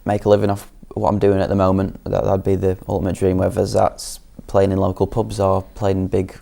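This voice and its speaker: A man's voice: low-pitched, completely flat delivery, brisk, gravelly, warm tone. A young man from Leeds, a singer-songwriter guest answering modestly and hesitantly. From Leeds, England. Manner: modest, hesitant, many ums.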